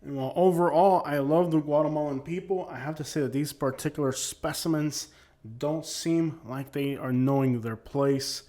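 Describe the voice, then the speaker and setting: Man, higher-pitched voice, opinionated host, blunt, emphatic, repetitive. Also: fairly even intonation, normal speed, dark tone. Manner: blunt, emphatic, repeats points. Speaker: commentary channel host. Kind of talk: opinionated commentary on arrest records.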